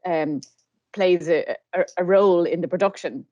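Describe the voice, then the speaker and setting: A woman interviewing a guest informally, phrasing her questions tentatively with pauses. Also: on the low side, darkish tone, no echo, dull audio. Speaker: podcast interviewer.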